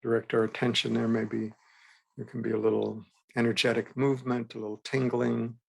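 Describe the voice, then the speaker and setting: A man guiding a meditation as a teacher, with gentle, unhurried instruction and frequent pauses. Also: medium pitch, little pitch movement, creaky, breathy edge, poor audio. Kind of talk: guided meditation.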